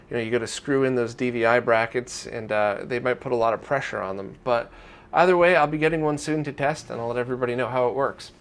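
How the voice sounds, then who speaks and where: Man, mid-pitched voice, informal news host, conversational delivery, talking to viewers. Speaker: host of a weekly retro gaming news roundup. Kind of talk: casual news roundup to viewers.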